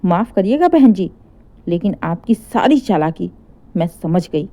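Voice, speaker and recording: A woman's voice: fairly low pitch, sing-song, a little slow, mellow. A woman voicing an indignant older mother defending her married daughter in a story, firm, sarcastic and emphatic. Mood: indignant, accusing.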